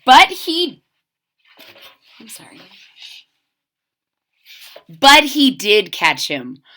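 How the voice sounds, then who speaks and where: A woman storyteller with a high, bright voice reads to young children at an unhurried pace. She is expressive, adding simple explanations and questions as she goes. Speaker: storyteller reading a picture book aloud to children. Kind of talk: animated read-aloud with asides and questions to young listeners.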